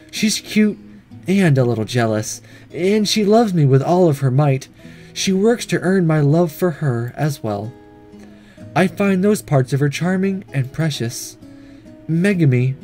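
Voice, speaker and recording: Man reading as a soft, tender ghost schoolgirl over music. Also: a little high. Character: gentle ghost schoolgirl, devoted, apologetic sweetheart. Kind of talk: visual novel inner monologue read aloud.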